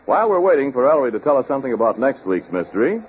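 An American man announcing and pitching a sponsor in 1940s radio style. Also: sing-song, very fast, slightly rough. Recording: dull old radio recording, faint noise.

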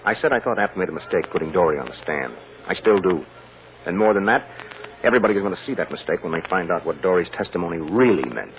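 An actor playing a shrewd, calm defense lawyer with a measured, persuasive delivery in 1940s radio drama style. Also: male voice, sits low. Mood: firm, reassuring. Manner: measured and deliberate, gently coaxing or drily firm. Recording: muffled, hissy old radio recording with hall echo.

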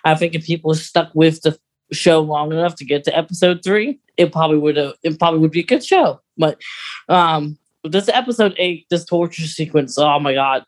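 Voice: mid-range voice, normal speed. A podcast host chatting casually as an enthusiastic fan, often breaking off and restarting sentences.

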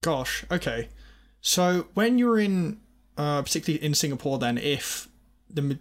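A man's voice: a little high, big pitch swings, normal speed. A man interviewing a guest, asking long, winding questions and apologizing politely.

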